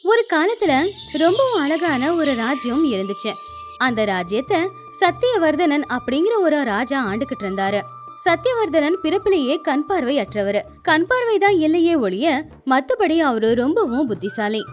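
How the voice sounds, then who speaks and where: A woman's voice: sits high, very animated, moderate pace. A woman narrating a scripted moral story expressively. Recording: music behind the voice.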